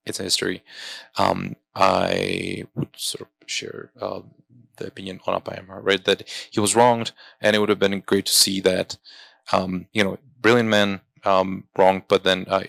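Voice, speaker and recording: A man's voice: deep voice, creaky, a bit bright, no echo. A man from Slovakia, answering interview questions off the cuff in a hesitant way with frequent checking tags. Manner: hesitant, often tags phrases with "right". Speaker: film extra interviewed at an event.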